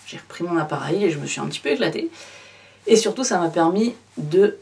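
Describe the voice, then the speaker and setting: A woman's voice: lowish voice, a little mellow, recorded from a distance. Chatty French woman in her thirties. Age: 30s. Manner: chatty, addresses the viewer informally with many fillers. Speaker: photography YouTuber. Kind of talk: casual direct-to-camera vlog.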